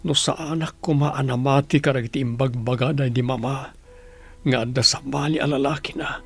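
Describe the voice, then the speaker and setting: A man's voice: mid-range voice, fairly even intonation, normal speed, creaky, fairly bright tone, breathy edge. A man with an Ilocano accent acting an anguished, remorseful husband in a radio drama, in a pleading manner. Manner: pleading, prayerful. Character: anguished, remorseful husband praying for his sick wife.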